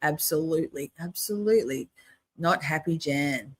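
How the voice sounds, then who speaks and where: A woman's voice: sits low, moderate pace. An older Australian woman with a mixed accent, chatting casually to her viewers while hosting a live reaction stream. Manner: chatty, talks to chat.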